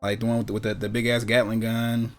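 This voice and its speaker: A man's voice: fairly low pitch, level tone, a little fast. Slangy, emphatic American man over music. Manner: slangy, opinionated, emphatic, repeats himself. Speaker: podcast co-host. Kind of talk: casual banter about movies and anime.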